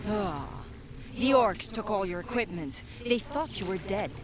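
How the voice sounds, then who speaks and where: A woman's voice: mid-range voice, expressive, unhurried, background noise, close to an everyday voice. A woman voicing a seductive, sly demoness companion in a fantasy game, coaxing and teasing. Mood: coaxing, warning. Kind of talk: dramatic fantasy game acting.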